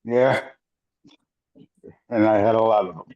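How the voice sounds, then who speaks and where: Older male guest, deep and dark, relaxed and musing; slightly muffled recording. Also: measured pace. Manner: reflective, easygoing, trailing off. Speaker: podcast guest. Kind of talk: casual conversation. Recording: dull lo-fi audio.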